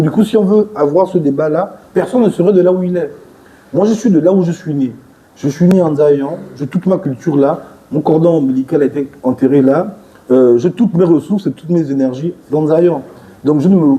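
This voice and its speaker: A man's voice: a little high, fairly quick, dark tone, low-quality recording. A man from Gabon, a party leader, answering pointed questions in a political TV interview, assertive and growing emphatic as he argues. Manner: assertive, rising emphatic when arguing.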